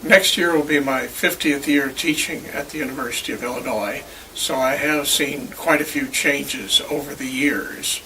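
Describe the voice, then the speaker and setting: Elderly man, bright forceful voice, distant mic. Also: medium pitch, normal speed, few pauses. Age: 70s or older. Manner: formal, complete sentences. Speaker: university professor. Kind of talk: measured interview answers. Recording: distant mic, faint background noise.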